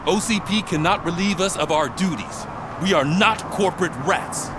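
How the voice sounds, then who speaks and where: Actor as proud defiant cop, high for a guy, emphatic, loud street noise, game cutscene. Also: normal speed, lightly acted. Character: proud, defiant policeman standing by his force. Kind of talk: video game cutscene acting.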